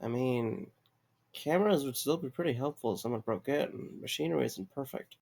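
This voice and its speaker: A man playing an awkward, weary young man in an audio drama, with a halting, stammering delivery and a creaky voice. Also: mid-range voice, a little mellow. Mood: polite, uneasy.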